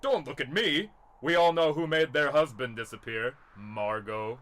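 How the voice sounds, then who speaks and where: A man's voice: mid-range voice, normal speed, crowd noise, lightly acted. A man playing a snide, defensive and sarcastic younger brother in an amateur murder mystery audio drama. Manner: indignant, sarcastic.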